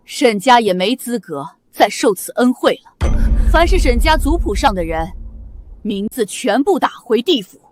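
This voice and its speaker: A woman's voice: on the high side, big pitch swings, normal speed, soft background music. A woman voicing an imperious queen mother of the gods in a costume drama, commanding and scornful. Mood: furious. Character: imperious divine queen mother, haughty and wrathful. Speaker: divine empress mother.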